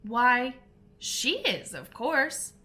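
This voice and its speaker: A woman's voice: on the high side, very animated, drawn-out words, sharp tone, voice pushed up, exaggerated voice. A woman voicing a solemn, pompous male dodo in a storybook, speaking in a declaring manner. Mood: proclaiming.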